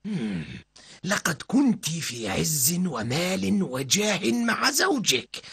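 A man voicing a sly Satan disguised as a man in a cartoon, coaxing with a raspy, creaky, slow, drawn-out delivery. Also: high-pitched, very animated, bright, forceful tone, full character voice. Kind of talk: dramatic cartoon voice acting.

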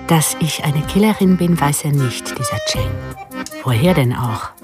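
An actress playing a cool, self-assured female contract killer in a radio play, controlled and sardonic with a husky voice. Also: sits low, lively intonation, unhurried, over music. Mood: calculating. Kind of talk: radio play dialogue and inner monologue.

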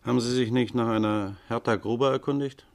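An actor playing a gruff, methodical, sardonic police inspector, dry and stern, in a 1950s German radio crime drama. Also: male voice, medium pitch, fairly even intonation, a little fast, lightly acted. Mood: stern, probing.

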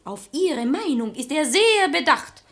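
High-voiced saucy maid. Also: female voice, sing-song, a little slow, a bit bright, exaggerated voice. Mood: bossy scheming. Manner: pert, mocking, theatrical. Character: saucy, outspoken, quick-witted maidservant. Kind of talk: German radio play acting in rhymed verse.

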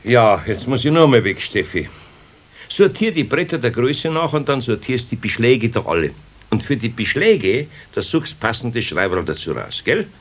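A man with a Bavarian accent playing a gruff but kindly older master carpenter in a radio drama, with a husky voice. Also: medium pitch, very animated, moderate pace. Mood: brisk, instructing. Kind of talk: 1970s German radio drama acting.

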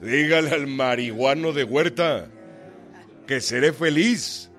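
An actor playing a commanding, defiant, folksy Mexican revolutionary general in a radio drama. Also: male voice, mid-range voice, takes it slowly, fairly bright tone, soft background music. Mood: mocking, defiant.

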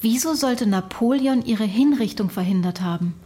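An actress playing a sober female doctor who asks measured, probing questions in a radio drama. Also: fairly high pitch, warm tone, slightly rough. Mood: probing, sceptical. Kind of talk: German radio drama dialogue.

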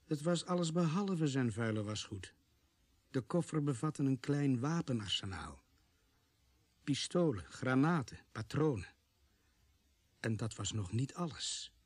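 Husky cop narrator, radio drama, medium pitch, sober and suspenseful, no room sound. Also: male voice, expressive, darkish tone, no echo. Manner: measured, suspenseful storytelling. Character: sober policeman narrator recounting a murder case. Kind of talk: scripted radio play narration.

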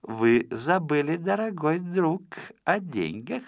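Male narrator as oily waiter, insistent, falsely polite, spiteful, very animated pitch, audio storybook. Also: slow, no room sound, studio quality, exaggerated voice. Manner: sugary, insistent.

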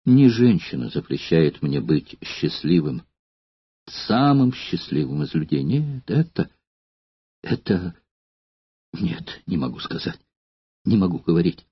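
An anguished gladiator pleads with his beloved in a low, husky, trembling voice that is close to tears, as a male reader acts him out. The sound is dull and dry, with little room around it. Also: very animated, slow, long pauses, dry recording, full character voice. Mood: anguished pleading.

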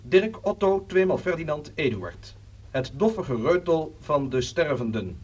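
Brief: actor as a brusque newsman, husky and lively, a little off mic. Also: a little high, recorded from a distance, full character voice. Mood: dictating. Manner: brusque, hurried, dictating a report. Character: pushy male newspaper reporter. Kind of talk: radio play acting.

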